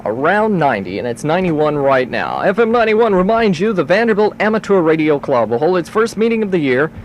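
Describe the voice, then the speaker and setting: High-pitched, animated 1970s rock radio DJ man. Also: a little fast, a little hiss or hum. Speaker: radio disc jockey. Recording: 1970s radio aircheck with loud music. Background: loud rock music.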